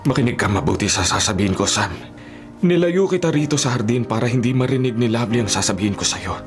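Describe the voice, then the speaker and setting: A man's voice: mid-range voice, animated, quick pace, faint music behind, natural voice. A Filipino-accented man playing a middle-aged town mayor, a genial but authoritative father, in a radio drama. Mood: authoritative, confidential.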